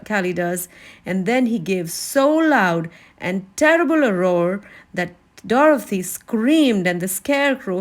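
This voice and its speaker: A woman narrating a children's novel as a read-aloud storyteller. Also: medium pitch. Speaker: storybook reader.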